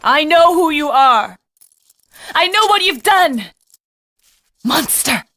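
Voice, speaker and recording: A woman playing a frightened, accusing townswoman in a video game, shrill and panicked. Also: lively intonation, slow pace, pushed and bright, full character voice. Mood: fearful accusation. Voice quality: shrill, high. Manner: panicked, crying out. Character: terrified, accusing townswoman fleeing a killer. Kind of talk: dramatic video game acting.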